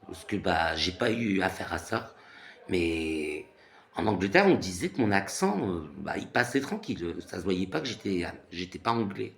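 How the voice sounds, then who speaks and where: Gravelly, animated man from France. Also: sits low, normal speed. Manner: rambling, animated, repeats phrases with many fillers. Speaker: vlogger. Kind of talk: casual monologue rant.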